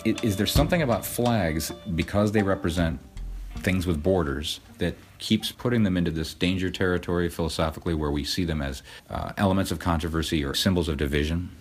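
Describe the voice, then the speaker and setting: A man's voice: deep voice, fairly quick, soft background music. A man interviewing, asking curious questions and thinking aloud.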